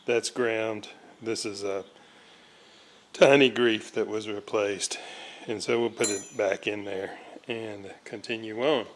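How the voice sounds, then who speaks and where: Slow-talking older man, noisy recording. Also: fairly low pitch, fairly even intonation. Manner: halting, folksy, rambling. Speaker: radio restoration hobbyist and host. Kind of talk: casual workbench narration.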